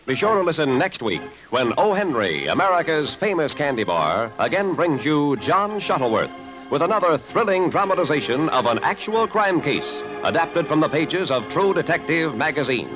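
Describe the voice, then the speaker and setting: A male mid-century radio announcer with a very animated, swooping delivery. He sells with upbeat polish on an old broadcast transcription, organ music playing lightly behind him. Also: a little high, moderate pace, rough recording. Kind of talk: 1950s radio sponsor announcing.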